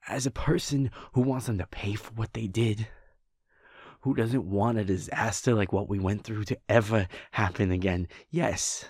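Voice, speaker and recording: A man voicing a gravelly, husky werewolf boyfriend. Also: on the low side, fairly even intonation, normal speed, no room sound, studio quality. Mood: tender, reassuring. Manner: earnest, soft-spoken, often whispering. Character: caring adult male werewolf boyfriend. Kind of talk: audio roleplay.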